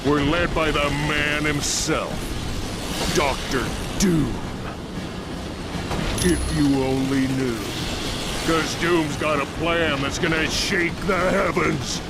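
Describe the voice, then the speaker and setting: Video game villain henchman, boastful, taunting, fairly high voice, unhurried, loud music underneath. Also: steady tone. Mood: gloating. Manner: gloating, taunting. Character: boastful supervillain henchman spoiling for a fight. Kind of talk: video game cutscene acting.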